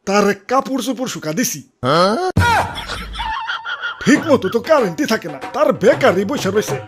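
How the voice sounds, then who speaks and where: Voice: medium pitch, lively intonation, moderate pace, shouting, over loud music, close to an everyday voice. A performer voicing a carefree, cheeky cartoon youngster with casual speech. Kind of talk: comic cartoon dialogue.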